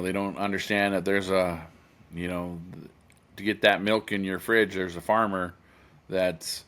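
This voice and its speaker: 50 yr old guy chatting on a podcast, lowish voice, rambles w lots of ums and restarts, earnest. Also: fairly bright tone, clean tone. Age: 50s. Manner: rambling, many fillers and restarts. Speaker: podcast co-host. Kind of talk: casual chat.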